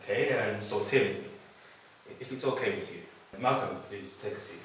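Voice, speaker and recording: A man's voice: fairly low pitch, normal speed, a bit bright, natural voice. Man acting earnest elephant executive, very echoey room. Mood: earnest, diplomatic. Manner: hesitant, reasonable. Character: earnest, conciliatory male pink elephant office executive, Mister Pinky. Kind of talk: table read of a short film script. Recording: very echoey room, distant mic, some noise.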